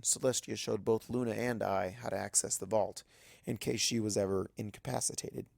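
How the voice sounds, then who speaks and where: A man's voice: on the low side, creaky, a little mellow, dry recording, clean studio sound. A man reading a first-person story aloud as dramatic scripted narration. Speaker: audiobook narrator of fan fiction.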